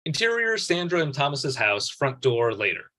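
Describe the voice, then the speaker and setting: A man's voice: medium pitch, sing-song, normal speed, pushed and bright. A man giving scripted narration, reading out stage directions at a table read.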